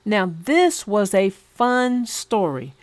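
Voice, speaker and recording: A woman reading a children's book aloud in an animated, expressive, sing-song way. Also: mid-range voice, slow, dry recording. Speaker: storyteller.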